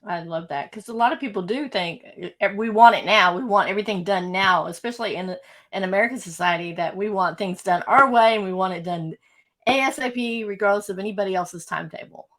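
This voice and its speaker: A woman's voice: mid-range voice, fairly quick, fairly bright tone. A woman hosting a podcast interview, responding to her guest in an affirming, encouraging way. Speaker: podcast host.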